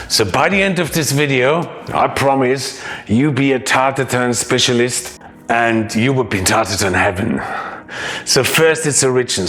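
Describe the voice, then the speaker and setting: A man's voice: medium pitch, a bit bright. A middle-aged man from Austria, a chef and cooking instructor giving a lesson with enthusiasm and teasing promises of secrets. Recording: faint music underneath.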